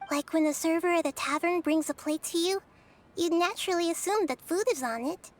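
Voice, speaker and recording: A woman voicing a gentle, wise, childlike young goddess in a video game, speaking softly in a measured, thoughtful, explanatory way. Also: very high-pitched. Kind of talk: English video game dub acting.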